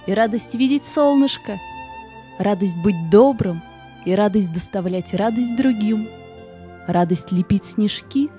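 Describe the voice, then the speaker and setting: An actress playing a radiant, gentle fairy-tale guide in an audio play, speaking in an enraptured, exclamatory way. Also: female voice, medium pitch, lightly acted.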